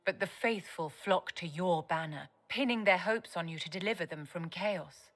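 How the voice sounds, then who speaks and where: An actress playing an aristocratic court enchantress in a video game, speaking in a formal, measured, condescending way over music. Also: female voice, lowish voice, a bit bright. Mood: poised, imperious. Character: poised, aristocratic court enchantress, haughty and self-assured. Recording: music under the dialogue.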